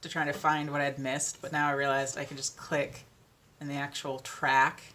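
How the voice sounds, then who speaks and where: A young woman with a deeper voice, chatting casually and self-deprecatingly about narrating audiobooks, full of ums and little laughs. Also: flat delivery, moderate pace, fairly bright tone. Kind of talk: casual chat.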